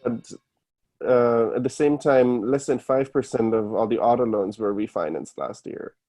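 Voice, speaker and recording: A man's voice: medium pitch, moderate pace, dark tone, slight background noise. A man from Germany with a German accent, a guest explaining things step by step in casual interview talk. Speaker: podcast guest, startup founder. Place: remote call.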